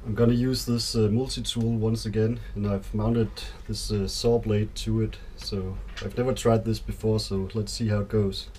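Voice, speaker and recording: Man, Danish accent, lowish voice, casual DIY narration, understated, noisy small cabin. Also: level tone, moderate pace, few pauses, mellow. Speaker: boat owner and vlogger. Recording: clear background noise on camera audio.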